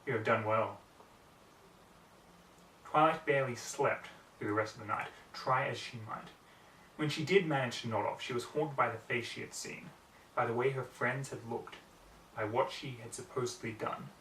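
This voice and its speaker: Guy reading a story out loud, mid pitch, roomy echo, bit far from the mic. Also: moderate pace, pauses often. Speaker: amateur audiobook reader. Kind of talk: scripted story narration. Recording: roomy, distant mic, faint background noise.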